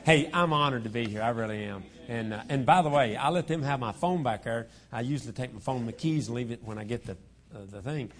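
A man, his voice a bit hoarse from a cold. He opens a sermon in a church auditorium in a folksy, chuckling, self-deprecating way, speaking into a lapel mic. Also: mid-range voice, quick pace. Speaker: guest preacher. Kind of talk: joking sermon opening.

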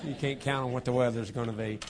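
Hoarse folksy preacher. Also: male voice, mid-range voice, steady tone, fast talker, noisy background. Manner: folksy, self-deprecating, chuckling asides. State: hoarse from a cold. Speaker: guest preacher. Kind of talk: joking sermon opening. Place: church auditorium. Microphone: lapel mic.

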